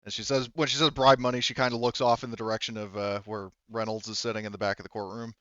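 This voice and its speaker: Low, smooth-voiced man as game master. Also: little pitch movement, fast, a bit bright, no echo, lo-fi sound. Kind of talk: improvised tabletop game narration and casual chat.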